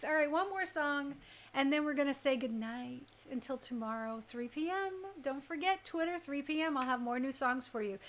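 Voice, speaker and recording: A woman's voice: sits high, fairly bright tone. A woman hosting an online radio music show, chatting informally and effusively with her listeners. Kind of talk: casual livestream music show chat.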